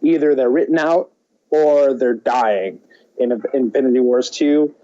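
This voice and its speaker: A man chatting casually as a podcast co-host, with a mellow, mid-pitched voice. He talks haltingly and circles back to restart his thoughts, on a slightly muffled recording. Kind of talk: casual film chat.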